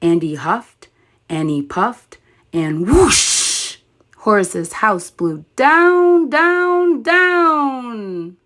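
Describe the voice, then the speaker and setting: A woman's voice: fairly high pitch, animated, extremely slow, fairly bright tone. A woman narrating a children's story expressively, like a teacher reading aloud.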